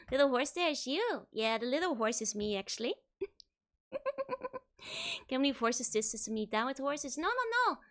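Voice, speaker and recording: A young woman streaming a game, hoarse, giggling and rambling playfully as she chats. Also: high-pitched, very animated, slow pace, long pauses, a bit bright. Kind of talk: casual game stream chat.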